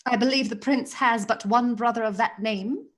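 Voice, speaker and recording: A sly, haughty princess, played by a woman, speaks in a slightly high, silky voice. She mocks with arch charm as a schemer in a radio play. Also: normal speed, lightly acted.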